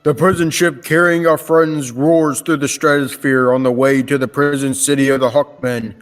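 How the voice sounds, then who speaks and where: A man's voice: mid-range voice, fairly even intonation, normal speed, soft background music. A young man narrating an old-time radio adventure serial from a script. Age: teens to young adult.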